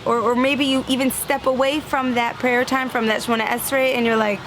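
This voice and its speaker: A woman's voice: on the high side, a little fast, noisy background. Earnest woman giving a spiritual talk. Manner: earnest, animated, acts out inner voices; laughs lightly now and then. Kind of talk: inspirational religious talk.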